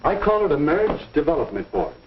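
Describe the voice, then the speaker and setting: An actor playing a genial, earnest minister counseling young people in an avuncular, folksy 1950s instructional film style. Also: male voice, on the high side, big pitch swings, slightly rough. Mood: explaining. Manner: avuncular, measured, folksy lecturing. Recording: dull 1950s film soundtrack.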